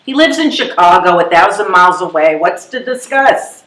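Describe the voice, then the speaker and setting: A woman's voice: fairly low pitch, animated, moderate pace, a bit bright. A woman playing a blunt, wry and determined elderly widow in a readers theatre comedy, full of pointed questions. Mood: indignant. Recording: echoey live room recording.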